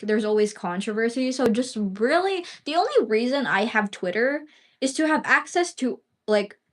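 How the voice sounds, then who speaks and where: Rambling woman livestreamer. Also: medium pitch. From Philippines. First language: Bisaya. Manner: rambling, filler-heavy, swears casually. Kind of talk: casual livestream chat.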